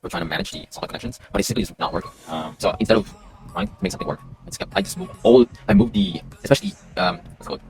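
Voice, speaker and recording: Man, deep low pitch, casual developer live stream, halting delivery with repeated restarts, clear background music. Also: sing-song, low-quality recording. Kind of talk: casual live stream talk about a coding project.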